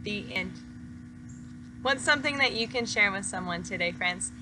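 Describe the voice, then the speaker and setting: A woman's voice: medium pitch, expressive, moderate pace, background noise. A woman teacher talking to young children in a friendly read-aloud style. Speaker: teacher reading a picture book aloud.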